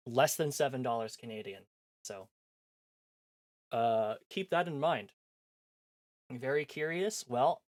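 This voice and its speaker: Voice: medium pitch, no room sound, studio quality. A sleepy livestream host chatting casually to viewers, in the manner of a stream sign-off. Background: background music.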